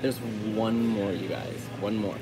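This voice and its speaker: A man's voice: on the low side, steady tone, a little slow. A young man bantering sassily with his co-host during a live eating stream in a restaurant. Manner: sassy, teasing banter. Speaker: vlogger. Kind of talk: casual live-stream chat while eating. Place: indoor restaurant with high ceiling. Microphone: phone camera close up. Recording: noisy restaurant background.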